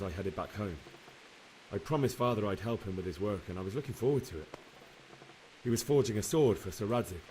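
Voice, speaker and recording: A low-voiced young man, a blacksmith's son telling of slaughter he survived. He speaks with earnest sorrow, like a cutscene confession. Clear noise runs behind his voice. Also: darkish tone, rough recording. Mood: rueful recounting. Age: young adult. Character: grieving young blacksmith's son recounting a massacre. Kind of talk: dramatic game cutscene acting.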